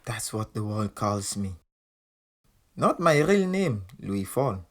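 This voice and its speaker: An actor playing a proud, volatile Senegalese champion boxer, wounded underneath, confiding in an audio drama. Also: male voice, lowish voice, big pitch swings, slow, a bit bright, exaggerated voice. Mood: bitter, reflective. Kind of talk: audio drama acting with confiding first-person narration.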